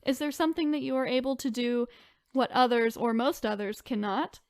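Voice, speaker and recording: A woman's voice: a little high, steady tone, brisk, darkish tone, dry recording, studio quality. A woman co-hosting a podcast, reading online stories aloud and chatting in an informal, conversational way. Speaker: podcast co-host.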